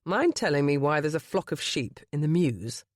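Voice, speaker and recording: A grown man, a husband with a low, husky voice, tender and dry-humoured, as a woman reading a romance audiobook puts him on. His lines sit low and move with lively rises and falls. The sound is close and dry, with no room around it. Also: moderate pace, dry recording. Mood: wry.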